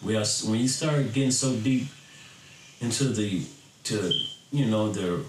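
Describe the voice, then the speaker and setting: A man's voice: on the low side, unhurried. Middle-aged American man, roomy distant recording. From Florida, then Michigan, United States. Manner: informal, earnest, rambling, often tagging "you know". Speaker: electronic bass music artist. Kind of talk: casual reflective talk to fans. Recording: roomy, distant mic, faint background noise.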